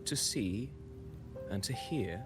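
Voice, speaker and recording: Solemn low-voiced wandering poet. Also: male voice, lively intonation. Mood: courteous, serene. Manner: poetic, solemn, measured. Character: blind wandering male poet, serene, grandly cryptic storyteller. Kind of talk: dramatic video game voice acting. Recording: loud game music behind.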